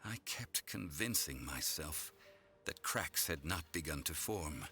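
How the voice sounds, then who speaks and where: A man's voice: a bass voice, animated, bright, forceful tone, full character voice. An actor playing an ancient male god in a video game, solemn, composed and formal in his speech. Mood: reflective. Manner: formal, measured, archaic. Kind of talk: video game cutscene acting.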